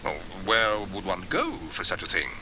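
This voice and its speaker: Prim, aggrieved middle-aged bachelor for a comic storybook played by a male reader, highly animated intonation, noisy old cassette. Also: normal speed. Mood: puzzled. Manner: prim, plaintive, precise. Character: fussy, sedate middle-aged bachelor, set in his ways. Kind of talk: acted audiobook dialogue. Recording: hissy old cassette tape.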